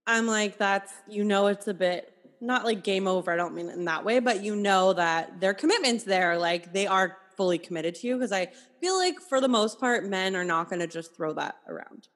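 A woman hosts a podcast interview, responding in an affirming, reflective way. She speaks at a middling pitch, and her voice carries a long reverb tail. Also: lively intonation, moderate pace. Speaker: podcast host.

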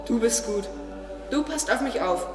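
A woman's voice: a little high, lively intonation, a little slow. A woman playing a proud, curious talking garden tree in an audio drama. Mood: awed. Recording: echoey, long reverb, distant, under music. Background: music.

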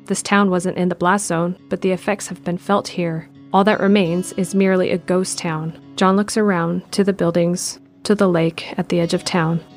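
A woman giving steady scripted narration of an audio drama in the present tense. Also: on the low side, normal speed, few pauses, faint music behind.

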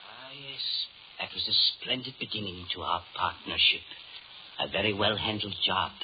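An actor playing a sinister, all-knowing devil, formal and menacingly polite, in 1930s radio horror style. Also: male voice, fairly low pitch, lively intonation, moderate pace, close to an everyday voice. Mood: silky menace. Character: sinister, suave, all-knowing male devil calling in his due. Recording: scratchy, muffled 1930s radio recording.

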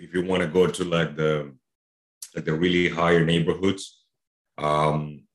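A man's voice: sits low, echoey room. A man from Colombia with a Colombian accent, a youth soccer trainer chatting as a guest, loose and full of "you know". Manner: conversational, many fillers like "you know". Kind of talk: casual interview chat.

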